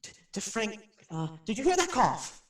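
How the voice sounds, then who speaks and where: A man's voice: high voice, wildly swooping pitch, moderate pace. An actor playing a nervous, chatty radio personality who rambles and stammers his way through a haunted house in a radio drama. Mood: nervous chatter. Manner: jittery, stammering, rambling, self-interrupting. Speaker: radio host. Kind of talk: radio drama acting, rambling live monologue. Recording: roomy, distant microphone.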